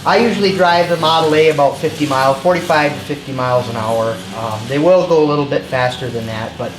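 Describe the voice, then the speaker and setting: A man from Michigan chatting casually as an interview guest, halting and repeating words as he goes. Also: a little high. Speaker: interview guest, car collector. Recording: echoey, clearly noisy location sound.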